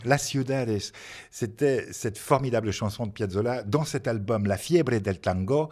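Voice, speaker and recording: A man's voice: mid-range voice, a little slow, darkish tone, faint music behind. Male radio interviewer, mid-range voice, enthusiastic and curious. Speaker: radio host.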